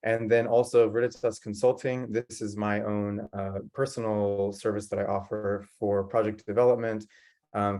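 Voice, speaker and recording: A man's voice: fairly low pitch, darkish tone. A man from San Diego, California giving an earnest conference presentation over a video call, with frequent ums and uhs. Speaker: guest presenter.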